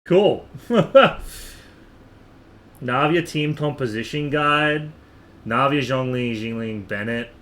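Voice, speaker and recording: A man's voice: mid-range voice, slow, pauses often, a bit bright. A man streaming a game in casual chat, rambling and thinking aloud with the odd casual swear, over noticeable background noise. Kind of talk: casual live stream chat while gaming.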